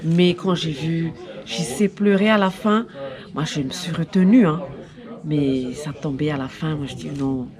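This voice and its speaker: A woman's voice: low voice, unhurried. A woman chatting casually in a hesitant, emotional way, as someone moved by what she has seen. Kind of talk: casual chat. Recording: crowd chatter behind the voice.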